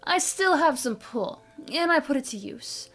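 An actress playing a jailed crime boss, vengeful and taunting, in an audio drama. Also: female voice, high-pitched, animated, fairly bright tone, soft background music. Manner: taunting, deliberate.

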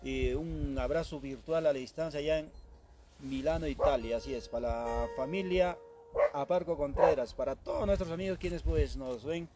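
A man hosting a live music broadcast, reeling off long lists of greetings and dedications with a friendly, easygoing "pues". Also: fairly high pitch. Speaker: host of an online music broadcast. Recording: loud music playing under the voice.

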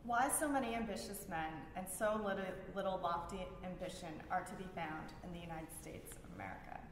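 Lady with a lowish voice reading out loud, trips on words a bit, really echoey room. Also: expressive. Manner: halting, often stumbling over words and restarting. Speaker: librarian reading at a public event. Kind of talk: reading prepared texts aloud. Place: large reverberant room at a library event. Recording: very echoey hall with background noise.

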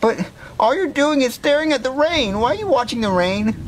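A whiny little kid, high voice, naive and pleading, trips over words, super bouncy pitch. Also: male voice, animated, normal speed, slight background noise. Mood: earnest, puzzled. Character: naive, whiny young boy, earnest son. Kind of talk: comedy skit character acting.

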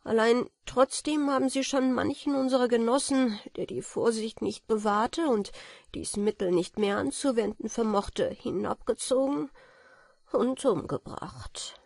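A woman's voice: on the high side, a little slow, vocal fry, a little mellow, no room sound, clean studio sound. A woman voicing a small, solemn dwarf king from a fairy tale, pleading in earnest, formal speech. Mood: grave, pleading.

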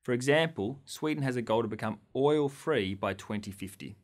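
A man in his thirties from Australia, presenting a scripted lecture to camera in a studio with an upbeat, clear delivery. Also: medium pitch, normal speed. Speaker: course lecturer. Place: studio against a green screen.

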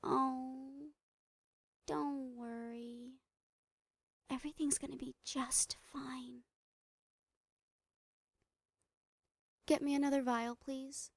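A psychotic lady doctor played by a woman in a horror ASMR roleplay, with a fairly high voice that slips into breathy whispers. She drags out her words very slowly, sweetly soothing and giggly with menace underneath. The sound is close and very dry. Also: flat delivery, no room sound, exaggerated voice. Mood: soothing, sinister. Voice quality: breathy, often dropping into whispers. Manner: soothing, giggly, drawn-out words, falsely reassuring. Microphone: close microphone, dry.

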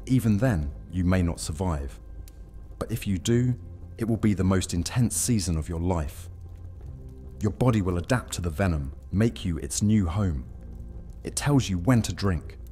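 A man plays a knowing vampire with a low, mellow voice. He speaks gravely and with measure, as in an immersive audio drama. Faint music sits behind him. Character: solemn, knowing male vampire.

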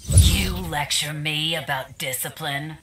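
A woman's voice: sits low, slow pace, very noisy. A woman playing an arrogant young ice warrior in a video game, cold and defiant. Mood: cold defiance. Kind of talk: video game character dialogue.

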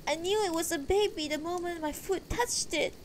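A woman's voice: a little slow, slight background noise. A woman voicing an anxious, tender elderly wife in a fantasy story, pleading and distressed. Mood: tearful, insistent. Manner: pleading, distressed, high-voiced.

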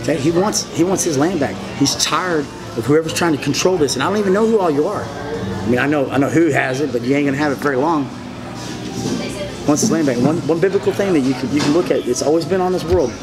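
Interview guest, man in his 40s, slightly high voice, emphatic and repetitive, restaurant booth with music playing. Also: fast, poor audio. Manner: emphatic, repetitive, gestures broadly while talking. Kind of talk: rambling monologue, telling his story.

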